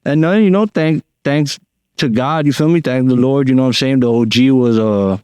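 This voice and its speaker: A Cuban-born man, a guest talking casually on a podcast in a slangy, relaxed way full of fillers. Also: medium pitch, moderate pace, clear, resonant tone, no echo. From Cuba. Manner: laid-back slangy talk with many fillers.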